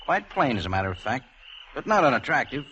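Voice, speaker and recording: A man's voice: medium pitch, sing-song, fast talker, bright, forceful tone, lo-fi sound. An actor playing a mild, reticent young man on holiday, with a North American accent, in a 1950s radio drama. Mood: easygoing, reluctant. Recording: noisy 1950s radio drama, outdoor night ambience.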